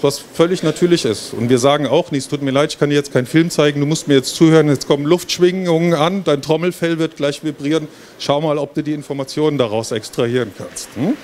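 A man's voice: on the high side, a little mellow. A man giving an informal, explanatory presentation in a conversational way into a handheld microphone. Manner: conversational, explanatory, with rhetorical questions. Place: stage at a busy book fair hall, before a seated audience. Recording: noisy fair hall ambience.

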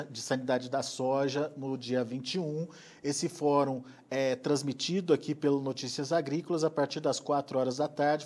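A man's voice: a little high, darkish tone. A man in his thirties hosting an agricultural news program from a studio, talking in a conversational way with fillers. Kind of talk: studio interview and announcement.

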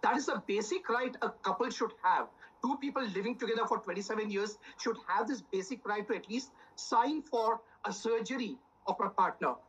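A man's voice: sits high, a little fast, a bit bright, husky, poor audio. A man, pleading his case earnestly as a panelist on a televised debate over a video call. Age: 60s. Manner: earnest, pleading, filler-heavy. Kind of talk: personal argument in a TV debate.

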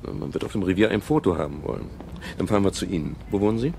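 An actor playing a wry, world-weary private detective in a 1970s German radio crime drama, speaking in a dry, measured and polite way. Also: male voice, low voice, sing-song, gravelly, mellow, slight background noise, exaggerated voice. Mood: polite, reassuring. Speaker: lead actor and first-person narrator. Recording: 1970s radio play with music.

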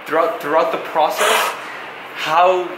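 A man's voice: fairly high pitch, fairly even intonation, unhurried. A young man casually questioning and explaining to a friend, earnest and insistent. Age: young adult. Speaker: friend of the vlogger. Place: school hallway. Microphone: handheld camera. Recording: echoey hallway, noisy background.

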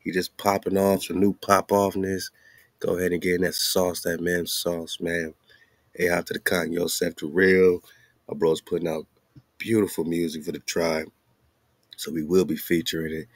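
A man with a deep voice talks like a laid-back show host. His speech is casual and slangy, full of repeated tag phrases as he hypes music. The sound is dry, with very little room to it. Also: flat delivery, normal speed, dry recording. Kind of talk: casual hype chat promoting music.

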